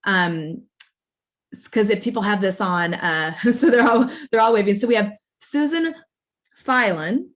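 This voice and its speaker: A woman's voice: medium pitch, animated, unhurried. A woman professor presenting at an online awards ceremony, speaking informally in an earnest, explanatory way. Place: online video call.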